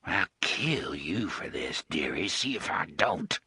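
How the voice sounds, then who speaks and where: A man voicing a treacherous male thug with a high, whiny, sneering voice. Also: big pitch swings, takes it slowly, pushed and bright, slightly rough, no echo, clean studio sound, exaggerated voice. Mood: menacing.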